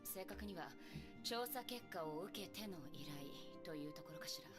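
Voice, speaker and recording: An actress playing a calm, authoritative woman leader who relays grave news in a Japanese video game. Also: fairly low pitch, fast talker, lightly acted. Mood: grave, composed. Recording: game music loud behind the voice.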